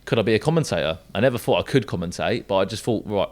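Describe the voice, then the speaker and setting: Deep-voiced young southern English man. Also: lively intonation, rapid-fire, darkish tone, slight background noise. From south coast of England. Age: young adult. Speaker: esports football commentator and podcast guest. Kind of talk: casual podcast interview.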